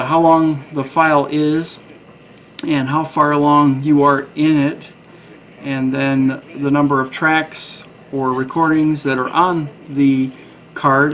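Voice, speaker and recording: Halting man demonstrating gadgets, noisy recording. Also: fairly high pitch. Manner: halting, matter-of-fact. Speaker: radio reviewer. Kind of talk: casual product demonstration. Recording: clear background noise.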